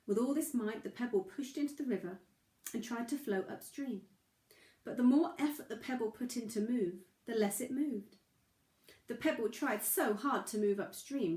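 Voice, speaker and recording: A woman reading a children's story as a storyteller, gentle and expressive, with drawn-out phrasing. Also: on the high side. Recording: roomy, echoey sound.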